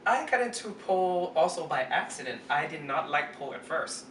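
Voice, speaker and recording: A man's voice: on the high side, animated, a little fast, fairly bright tone. A man in his twenties, from Las Vegas and born in Thailand, answering casually as an interview guest. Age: 20s. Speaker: interview guest, pole performer. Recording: roomy, distant mic, faint background noise.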